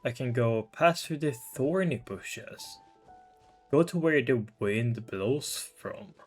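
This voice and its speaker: Guy streaming a game, slow low-key thinking out loud, bit of music behind. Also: expressive. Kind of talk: casual playthrough commentary.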